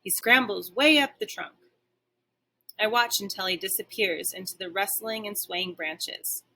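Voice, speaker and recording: A woman's voice: mid-range voice, unhurried, bright, forceful tone, low-quality recording. A woman reading a children's story aloud like a teacher, expressively, with dramatic and varied emphasis.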